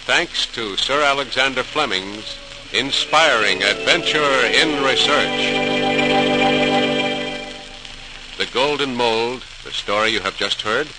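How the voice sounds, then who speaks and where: Male American narrator for a vintage radio docudrama; mid-range voice, emphatic measured phrasing, clear music bed. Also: mid-range voice, rough recording. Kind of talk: 1940s radio scripted dramatic narration. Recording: old transcribed radio recording.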